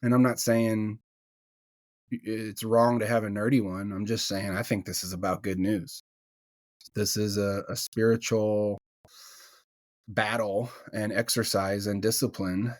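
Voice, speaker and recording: Male guest, low voice, dry close recording, deadpan humor, chatty. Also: a little slow, no room sound. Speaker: podcast guest, ordination candidate. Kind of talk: casual podcast conversation.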